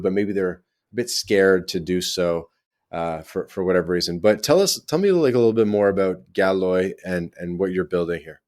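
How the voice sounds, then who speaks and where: A man's voice: low-pitched, a little mellow. A man hosting a podcast, chatting casually and loosely with a remote guest. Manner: chatty, loose, with fillers and restarts. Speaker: podcast host. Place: in Europe, remote.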